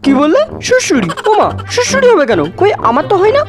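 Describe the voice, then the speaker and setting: Woman doing a cheeky young cartoon guy, really high voice, talks fast, music behind. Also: huge pitch swings, exaggerated voice. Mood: excitable. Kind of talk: Bengali comedy cartoon dubbing.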